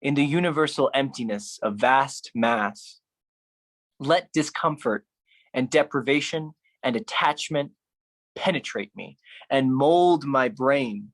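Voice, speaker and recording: A man performing his own writing as a spoken-word solo artist, speaking deliberately with pauses. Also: mid-range voice. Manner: slow, deliberate delivery with pauses.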